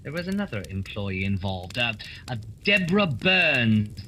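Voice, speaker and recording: Guy as theatrical gentleman detective, wry and precise, super lively delivery, radio play. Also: medium pitch, moderate pace, slight background noise. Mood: authoritative. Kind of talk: holiday radio play acting.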